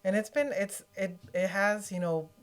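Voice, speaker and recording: A middle-aged woman chatting reflectively as a podcast guest, feeling her way through sentences in a halting, casual manner. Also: medium pitch, fairly even intonation.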